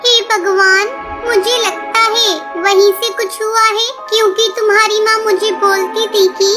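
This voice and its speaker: A woman's voice: very high-pitched, level tone, measured pace, over loud music. A woman voicing a concerned old neighbour bird auntie in a cartoon story, anxious and full of advice. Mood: worried, urgent. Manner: anxious, advising.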